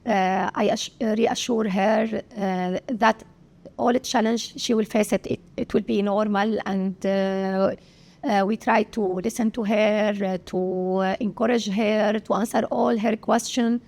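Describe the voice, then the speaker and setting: A woman from Syria with an Arabic accent, a pediatrician answering interview questions haltingly, with many pauses and filler sounds. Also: mid-range voice, steady tone, gravelly, darkish tone. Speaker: pediatrician guest.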